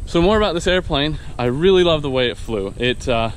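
A man's voice: a little high, expressive, fairly quick, a little hiss or hum. A young man recording a casual review, talking enthusiastically to a handheld camera outdoors, rambling with fillers and restarts. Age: young adult. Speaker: vlogger and new private pilot. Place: outdoors on a wooded dirt path. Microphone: handheld action camera at arm's length.

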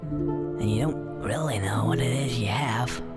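A man's voice: mid-range voice, a little slow, loud music behind the voice, lightly acted. An actor voicing a cheeky young male squirrel in a video game, talking in a dry, sardonic way. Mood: reflective. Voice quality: wry, sardonic. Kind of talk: video game cutscene acting. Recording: game audio with loud score behind.

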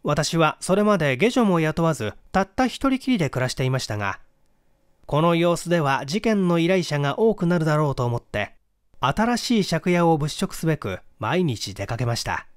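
A man reading a classic detective story aloud as dramatic, measured, expressive scripted narration. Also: fairly high pitch, no echo, clean studio sound. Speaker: audiobook reader, stage actor.

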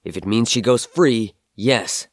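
A man's voice: on the low side, a little slow, no room sound, clean studio sound. Man playing an earnest, devoted young son. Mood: resolute. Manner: earnest, insistent. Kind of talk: audio drama acting.

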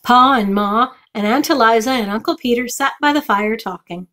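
A woman's voice: a little high, moderate pace, pushed and bright. A middle-aged woman reading a children's chapter book aloud as a storytime reader. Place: small furnished room indoors.

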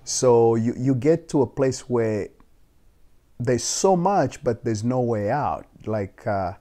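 A man's voice: mid-range voice, animated, slow pace, warm tone. A man in his twenties, raised in South Africa, being interviewed on a living-room couch, talking thoughtfully with frequent restarts. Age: 20s. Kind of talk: casual sit-down interview. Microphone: lapel mic.

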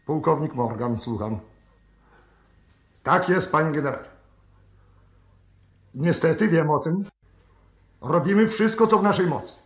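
An actor playing a stern, irritable colonel in a 1960s radio drama, with an authoritative, clipped delivery. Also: male voice, fairly high pitch, a little slow, long pauses, lightly acted. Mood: deferential, tense. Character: stern, irritated colonel, anti-communist security chief. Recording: roomy vintage radio studio recording.

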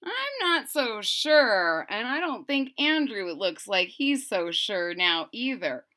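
A woman's voice: on the high side, big pitch swings, slow, sharp tone. A woman of about thirty to forty reads a children's story aloud expressively, with chatty asides to young listeners, at home. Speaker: storybook reader. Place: small furnished room at home, indoors.

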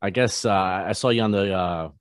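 A man in his forties, a radio host casually interviewing a musician over a video call, with halting questions full of fillers. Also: on the low side, dry recording, clean studio sound. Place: home studio, posters on wall. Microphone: large studio microphone, webcam.

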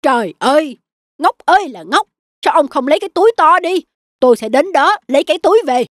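A woman voicing a greedy, mean old wife in a children's fairy tale, bossy and sharp-tongued. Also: sits high, very animated, brisk, no room sound, studio quality, voice pushed up. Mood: scolding. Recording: music behind the voice.

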